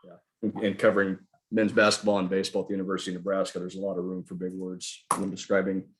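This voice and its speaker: A man's voice: low voice, monotone, fast talker, background noise. A man hosting a sports podcast, asking long, wordy questions in a casual interview. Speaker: podcast host, sports reporter.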